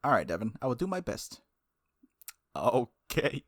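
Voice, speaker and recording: A man's voice: medium pitch, big pitch swings, measured pace, a bit bright, dry recording, clean studio sound. A man hosting a gaming video, reading aloud and chatting casually in a playful, exclamatory way that often breaks off mid-thought. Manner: playful, self-interrupting, exclamatory. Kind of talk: casual let's play chat and reading aloud.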